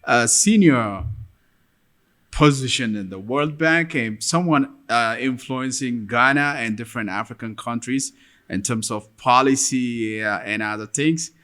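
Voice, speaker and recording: Man hosting a podcast, measured questions. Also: mid-range voice, bright, forceful tone. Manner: measured, with many fillers and affirmations. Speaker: podcast host. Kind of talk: interview questions.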